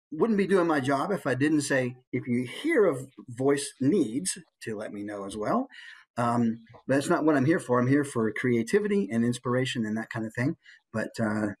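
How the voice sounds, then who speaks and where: A man's voice: medium pitch, slightly rough. A man from the United States chatting casually as a workshop presenter, encouraging and telling stories in a jokey way. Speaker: presenter, voice actor. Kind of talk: casual chat with workshop attendees.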